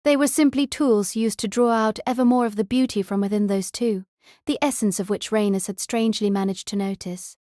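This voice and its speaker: Female audiobook narrator, mid-pitched, reading a fantasy novel in a dry, close recording. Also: steady tone, brisk, dark tone, no room sound.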